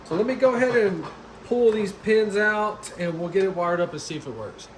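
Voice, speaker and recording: Higher-voiced man, casual DIY host, noisy recording. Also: animated, normal speed. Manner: informal, colloquial, plain-spoken. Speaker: DIY car audio video host. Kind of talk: casual how-to narration. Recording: clearly noisy background.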